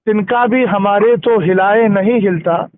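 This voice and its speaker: A man's voice: high-pitched, a little slow, no echo. A man, an Islamic scholar, giving a didactic religious explanation with recited verses and checking that his listener follows, over a phone call. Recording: narrow-band recorded phone call.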